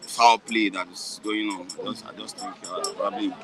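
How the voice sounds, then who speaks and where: A man giving measured, somewhat hesitant commentary as an interview guest. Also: fairly low pitch, steady tone. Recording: crowd noise behind.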